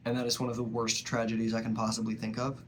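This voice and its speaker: A man's voice: mid-range voice, completely flat delivery, a little hiss or hum. Earnest young man with a stuffy nose. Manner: earnest, halting, with frequent pauses. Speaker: vlogger. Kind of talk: personal reflective monologue.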